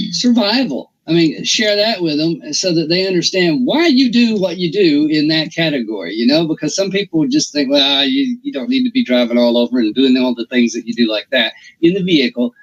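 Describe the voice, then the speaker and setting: Casting: husky-voiced interview host, rambling and halting, dull lo-fi sound. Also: male voice, on the high side. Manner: rambling, restarts, filler-heavy questions. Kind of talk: casual interview. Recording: dull, lo-fi audio.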